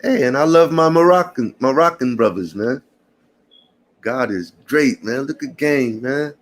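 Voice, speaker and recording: A man, chatting casually to passersby and viewers in a relaxed morning vlog, calling everyone brother and man. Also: medium pitch, measured pace. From Puerto Rican family. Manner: laid-back, slangy, calls people brother and man. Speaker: vlogger.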